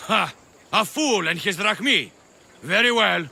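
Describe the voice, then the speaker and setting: An actor playing a menacing Greek soldier thug in a video game, gravelly and sharp, with a curt, mocking manner. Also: male voice, high voice, expressive, measured pace, a little hiss or hum, heavily acted. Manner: taunting, curt.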